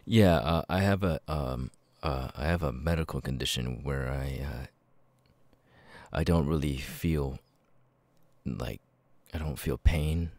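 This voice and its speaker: A man voicing a nervous, awkward young man who stammers haltingly through verbal tics in an ASMR roleplay. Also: extremely low pitch, a little mellow, no echo, heavily acted. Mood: nervous, apologetic.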